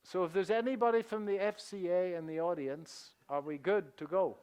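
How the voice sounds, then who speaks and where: A middle-aged man with a Northern Irish accent speaks to a conference audience from the front of a hall. His voice sits a bit high for a man, and he talks in a chatty, joking way. He fills pauses with "uh" and drops his voice low for quick asides. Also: darkish tone, smooth. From England. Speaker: seminar presenter. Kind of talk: live conference presentation.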